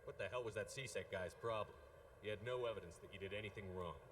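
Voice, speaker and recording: An actor playing a tough, ruthless military commander in a video game, speaking bluntly with controlled hardness. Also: male voice, fairly low pitch, quick pace, distant mic, background noise, lightly acted. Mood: stern.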